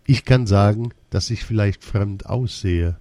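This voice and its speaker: A man reading a first-person personal essay aloud in a slow, measured, deliberate way. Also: fairly low pitch, warm tone, dry recording.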